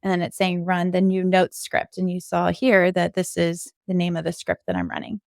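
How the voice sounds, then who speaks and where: A woman's voice: lowish voice, moderate pace, vocal fry, a little mellow, no echo, studio quality. A woman giving an informal screen-share coding walkthrough, explaining things step by step as a podcast co-host.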